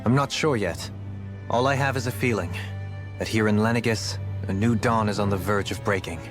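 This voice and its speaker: Man as dutiful young noble leader for game drama: lowish register, courtly measured phrasing, music clearly underneath. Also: lightly acted. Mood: thoughtful, hopeful. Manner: formal, measured, earnest. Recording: prominent background music.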